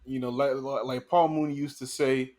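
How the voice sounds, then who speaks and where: Brief: earnest male co-host, casual and rambling, middling pitch. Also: little pitch movement, moderate pace, a little mellow. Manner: earnest, many restarts and "you know". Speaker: podcast co-host. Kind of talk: casual discussion.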